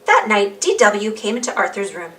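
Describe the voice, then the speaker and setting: A woman reading a children's storybook aloud in an animated narrating style. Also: mid-range voice, moderate pace, distant mic. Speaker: storybook reader.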